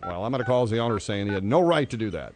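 A man's voice: fairly low pitch, lively intonation, very fast, noisy background. An American man, a radio host making a prank phone call in a conversational, matter-of-fact but insistent way. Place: radio studio, dry close sound.

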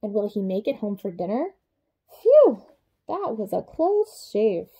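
A young adult woman reading a children's picture book aloud in an expressive way, speaking to young children. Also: mid-range voice, measured pace, husky. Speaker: childcare supervisor reading a bedtime story.

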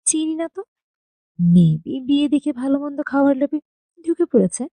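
A woman's voice: high-pitched, sing-song, normal speed, dark tone, no echo, poor audio. A woman voicing a snobbish young woman who taunts and sneers. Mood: mocking.

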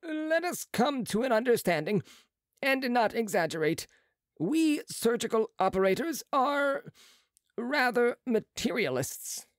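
A sneering young surgeon, proud and boastful, as a reader voices him. His pitch sits well above the reader's own and leaps widely. The audiobook recording is dry and close. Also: expressive, measured pace, dry recording, lightly acted. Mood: lofty. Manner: boastful, lofty, sharp retorts. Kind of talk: audiobook character reading.

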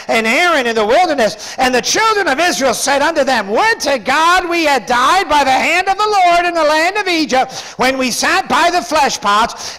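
A man's voice: very high-pitched, a bit bright, rough recording. A middle-aged man preaching a sermon in a church as pastor, speaking in an emphatic, folksy, conversational way. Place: church sanctuary, preaching from a pulpit.

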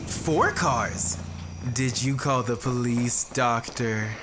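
A man's voice: medium pitch, slow, heavy background noise, lightly acted. An actor playing a menacing, unhinged young man in an audio drama, taunting and mock-casual. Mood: taunting menace. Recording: music behind the voice.

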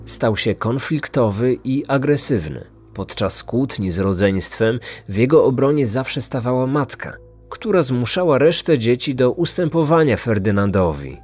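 A man narrates a true-crime podcast from a prepared script. His voice sits at a middling pitch, with faint music underneath. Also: animated, moderate pace, a little mellow, husky.

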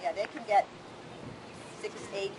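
A woman's voice: medium pitch, fairly even intonation, unhurried, darkish tone, low-quality recording. A middle-aged woman leading an informal outdoor demonstration for a group as a plant educator. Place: open grassy park field, outdoors. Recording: clear outdoor ambient noise. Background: outdoor ambience.

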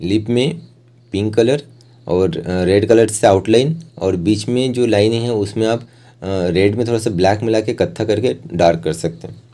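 Low, mellow-voiced man teaching. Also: normal speed. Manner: plain, informal, instructional. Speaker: rangoli art instructor. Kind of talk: step-by-step tutorial voice-over.

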